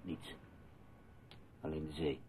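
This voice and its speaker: An actor playing a sceptical, take-charge husband in a radio play, curt and matter-of-fact, on a tinny old recording. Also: male voice, extremely low pitch, flat delivery, slow, mellow, a little hiss or hum. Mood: wary, sceptical.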